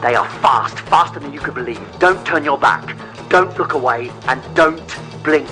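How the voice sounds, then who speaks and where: A man plays an intense man warning of deadly danger. He speaks in clipped, urgent phrases at a medium pitch, with music behind him. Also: moderate pace, bright, forceful tone, close to an everyday voice. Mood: urgent, grave. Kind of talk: dramatic sci-fi acting.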